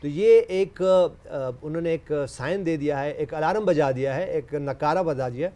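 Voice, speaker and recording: A man's voice: a little high, racing, warm tone. A man with a Pakistani accent, a native Urdu speaker, giving informal analysis as a sports pundit. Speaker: cricket panel pundit.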